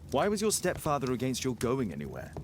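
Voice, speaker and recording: An actor playing an inquisitive Victorian detective in a video game, with a British accent and clipped, formal delivery. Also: male voice, medium pitch, huge pitch swings, brisk, a little hiss or hum. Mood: probing. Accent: British English. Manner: clipped, formal, probing questions. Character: brisk, inquisitive Victorian detective, adult man. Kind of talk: video game dialogue acting.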